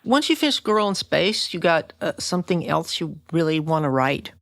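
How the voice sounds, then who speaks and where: A woman's voice: low-pitched, expressive, moderate pace, creaky, a bit bright, no echo, studio quality. An older woman interviewing casually as a podcast host, plainspoken and ready with her opinions.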